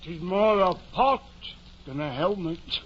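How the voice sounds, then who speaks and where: An actor playing a timid, plain-spoken squire in an old radio drama, doubtful but obedient, with a hesitant, deferential way of talking. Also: male voice, high-pitched, takes it slowly. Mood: sceptical, obedient. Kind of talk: 1950 old time radio drama acting. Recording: muffled noisy 1950s radio recording.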